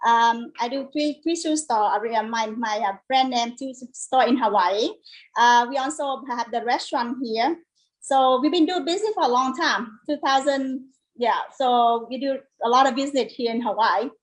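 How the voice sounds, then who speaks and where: High-voiced Vietnamese-accented woman, chatting informally. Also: moderate pace, rough recording. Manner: chatty, informal, non-native grammar. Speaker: guest, home business owner. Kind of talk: casual interview chat.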